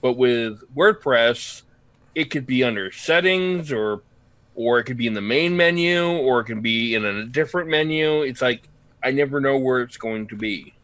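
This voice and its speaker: A man's voice: mid-range voice, animated, normal speed, fairly bright tone, no room sound. A man chatting casually about technical matters on a group call. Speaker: participant in a web tutorial livestream.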